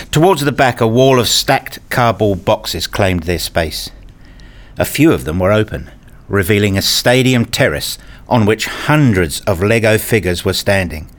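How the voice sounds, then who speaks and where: A man playing an earnest married father and hobbyist, narrating and acting his scripted story in a radio play. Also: fairly low pitch. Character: eager married father and hobbyist, a little rueful. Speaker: narrator and main character.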